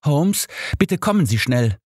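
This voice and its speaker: A man's voice: fairly high pitch, big pitch swings, unhurried, darkish tone, breathy edge, no room sound, studio quality, voice pushed up, heavily acted. A man voicing a detective's loyal, easily indignant companion, earnest and protesting, in an audiobook. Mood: urgent. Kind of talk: audiobook character dialogue.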